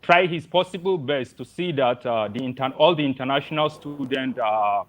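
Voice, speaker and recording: Young male student from Nigeria, polite, measured, mid pitch. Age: young adult. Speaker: engineering student. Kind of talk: webinar self-introduction and testimony. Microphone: video call.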